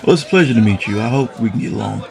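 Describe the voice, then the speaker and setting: An actor playing an eager, nervous young police officer in a 1920s radio drama, polite and a little hesitant. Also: male voice, mid-range voice, lively intonation, rapid-fire, vocal fry, a little mellow, a faint crowd. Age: young adult.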